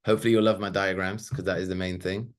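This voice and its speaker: A man's voice: deep voice, flat delivery, fast. A man, giving a casual, chatty webinar talk with loose asides and fillers. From Sweden. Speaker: webinar presenter.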